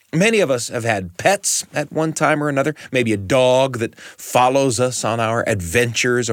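A man hosts a storytelling programme in a polished, measured way. His pitch moves around in an animated fashion, and the sound is dry and close, as in a studio. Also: no room sound. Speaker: radio storytelling show host. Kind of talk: scripted narration introducing stories. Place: radio studio.

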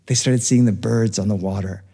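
A middle-aged American man telling a first-person story live in an expressive, animated way with dramatic pauses. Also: lowish voice, breathy edge. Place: reverberant studio, recorded live. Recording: live studio recording with long reverb.

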